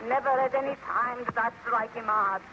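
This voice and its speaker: A woman's voice: a little high, moderate pace, dull audio, lightly acted. A woman playing a desperate young servant, pleading melodramatically in an old-time radio serial on a noisy vintage recording. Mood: protesting.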